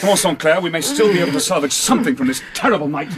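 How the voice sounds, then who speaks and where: An actor playing an intense amateur detective in a radio drama, emotional and urgent. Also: male voice, on the high side, animated, racing, over a noisy crowd, natural voice.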